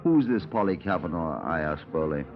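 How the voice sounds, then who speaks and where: Low-voiced, dry British detective. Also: male voice, lively intonation, over music. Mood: dry, matter-of-fact. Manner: formal, dry, deliberate. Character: measured, dry Scotland Yard detective narrating his case. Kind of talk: 1950s radio police drama acting and narration. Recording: muffled old 1950s radio recording.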